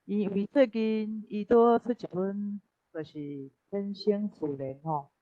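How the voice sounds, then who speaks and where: A woman's voice: medium pitch, measured pace, mellow. A woman with a Taiwanese accent presenting informally as a guest, over a dull online connection. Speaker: guest speaker at literary forum.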